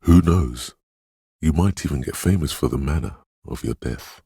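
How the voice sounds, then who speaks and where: A man voicing a video game's inner voice of intuition, dreamy, ominous and mysterious, narrating in a portentous, poetic way with a deep, gravelly, husky tone. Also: moderate pace, warm tone, no room sound, studio quality, full character voice. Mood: eerie, melancholy. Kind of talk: scripted second-person video game narration.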